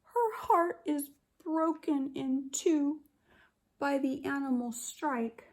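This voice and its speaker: A woman teacher reading a picture book aloud to young children, expressively and deliberately, drawing out her words. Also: high voice, rough recording. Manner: deliberate, animated storytelling with drawn-out words.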